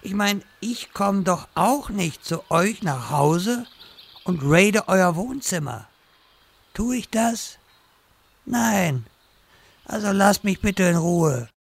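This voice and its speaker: A man's voice: high voice, expressive, slow, gravelly, heavily acted. A man playing a put-upon, plaintive lord of a tower, complaining pedantically in a parody audio drama. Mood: aggrieved.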